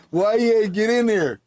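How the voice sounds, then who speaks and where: High-pitched man, amused slangy commentary. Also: darkish tone. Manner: amused, slangy, repeats catchphrases. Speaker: reaction video host. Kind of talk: casual reaction commentary.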